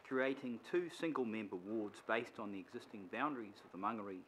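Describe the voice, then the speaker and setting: A man, a city councillor, putting measured, hesitant questions at a council meeting. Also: fairly low pitch, fairly bright tone, a little hiss or hum, low-quality recording. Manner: measured, hesitant, many fillers. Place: council chamber.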